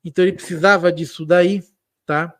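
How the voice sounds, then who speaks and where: A man's voice: sits high, fairly even intonation, brisk, fairly bright tone, dry recording, studio quality. A Brazilian man with a São Paulo accent with a slight Italian lilt, chatting loosely about history on a live stream, with many restarts and slangy fillers. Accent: São Paulo Portuguese with an Italian-tinged lilt. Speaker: guest speaker on history. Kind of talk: casual live-stream chat.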